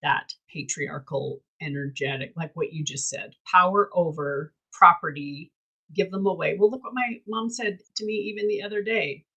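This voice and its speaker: A woman's voice: fairly low pitch, moderate pace, pushed and bright, breathy edge, poor audio. A woman hosting a candid casual chat, talking emphatically and bluntly and swearing freely. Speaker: host.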